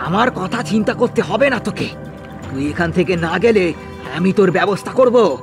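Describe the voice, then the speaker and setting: Man acting a high-pitched frightened villager, stammering. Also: animated, moderate pace, music in the background. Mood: pleading, defiant. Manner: stammering, repeating words in fright. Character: frightened but defiant village fish farmer, man. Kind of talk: cartoon horror dialogue.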